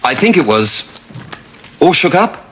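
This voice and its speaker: A man's voice: fairly high pitch, big pitch swings, measured pace, fairly bright tone, slight background noise. A man in a sitcom role who talks hesitantly, stumbling and stammering over his words. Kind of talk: sitcom acting.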